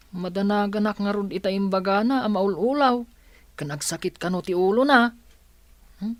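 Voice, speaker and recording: A woman's voice: mid-range voice, no room sound, lightly acted. Anxious, polite young student, acted by a woman, dry sound. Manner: polite, solicitous. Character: caring, anxious young student named Ariel. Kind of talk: audio drama acting.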